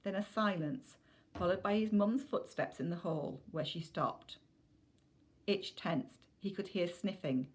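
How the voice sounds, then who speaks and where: A woman's voice: on the low side, measured pace. A woman reading a children's novel aloud as scripted narration.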